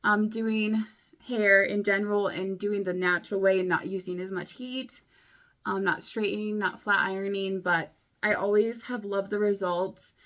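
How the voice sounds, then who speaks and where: Chatty young woman, slightly hoarse. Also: mid-range voice, steady tone, moderate pace, fairly bright tone. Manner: chatty, rambling, many likes and ums. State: a bit hoarse from a cold. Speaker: beauty vlogger. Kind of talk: casual chat to camera.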